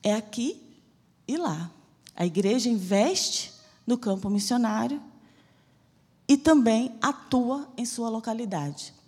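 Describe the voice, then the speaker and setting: A Brazilian woman from Salvador preaches with fervent emphasis, her Bahian accent softened by a Rio lilt. Her voice sits a little high and sounds husky. Long church-hall reverb trails behind every stressed phrase. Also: lively intonation, slow pace, pauses often. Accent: Bahian Portuguese mixed with Rio de Janeiro. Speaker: missionary preacher. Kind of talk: church sermon.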